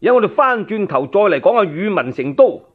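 A man's voice: high voice, sing-song, fast. A man telling a historical tale in Cantonese as a radio storyteller, colloquial and speaking straight to his listeners. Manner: colloquial, addressing listeners directly. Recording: narrow-band, phone-like radio recording.

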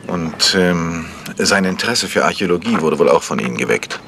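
A courteous, probing amateur detective in a radio mystery. His very deep voice moves with lively intonation over a faintly noisy recording. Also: brisk. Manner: polite, inquisitive. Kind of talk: German radio mystery acting.

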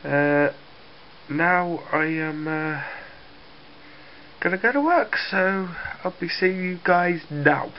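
A man's voice: high-pitched, slow pace, long pauses, slight background noise. A young man with a blocked nose from a cold, chatting casually into a handheld camera and laughing often. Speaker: vlogger. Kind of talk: casual daily vlog chat. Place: bedroom at home, later a busy cafe. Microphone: handheld camera at arm's length. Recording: slightly dull lo-fi camera audio, busy crowd.

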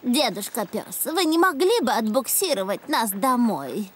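A woman's voice: a little high, very animated, unhurried, breathy edge, exaggerated voice. A woman voicing a sensible, mildly exasperated grandmother pig in a children's cartoon. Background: music.

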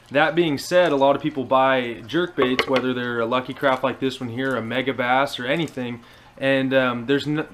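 Casting: American male, twenties, casual instructional vlogger, mid-pitched, slightly noisy living-room camera audio. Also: a bit bright. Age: 20s. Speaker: fishing vlogger. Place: indoors, small living room. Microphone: action camera on table at arm's length.

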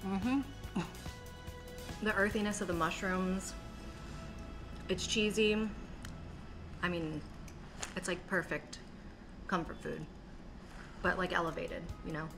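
A woman's voice: on the low side, fairly even intonation, takes it slowly, gravelly, pauses often, poor audio. A young woman hosting a food show, describing dishes with enthusiasm as she tastes them. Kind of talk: upbeat food review chat. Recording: background music under voice.

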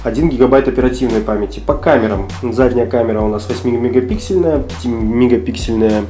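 A slightly hoarse man with a cold, chatting casually and thinking aloud as he unboxes a phone at an office desk. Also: medium pitch, fast, warm tone, soft background music. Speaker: tech reviewer.

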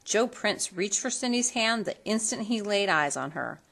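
A woman reading a children's storybook aloud in an expressive, theatrical manner that leans on colorful words. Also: medium pitch, normal speed, gravelly, husky. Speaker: storybook reader.

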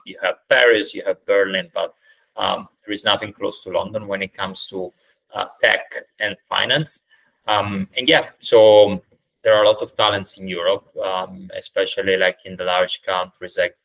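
A man in his thirties from Italy with an Italian accent, answering interview questions over a video call in a measured way, with many ums and uhs. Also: deep voice, pushed and bright, rough recording. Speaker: startup founder, guest. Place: indoors, plain room. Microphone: video call webcam.

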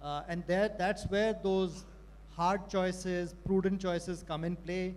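A man's voice: high-pitched, steady tone, takes it slowly, darkish tone, slight background noise. A man on a conference panel, speaking in a direct, practical way. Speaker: panelist, retail executive. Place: large conference hall stage. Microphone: handheld mic.

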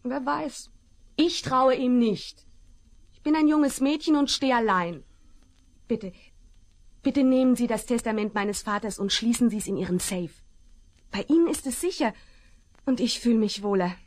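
A young actress playing a frightened but kind rancher's daughter in a Western radio play, pleading and anxious. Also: female voice, on the high side, normal speed, pauses often. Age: young adult. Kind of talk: 1970s German radio play acting.

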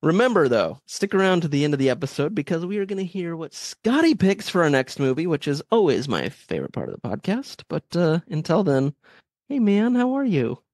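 Male podcast co-host, nasal from a cold, lively pitch, playful, dry mic. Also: fairly quick, dry recording. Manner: playful, self-mocking, laughs a lot. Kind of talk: casual movie podcast chat.

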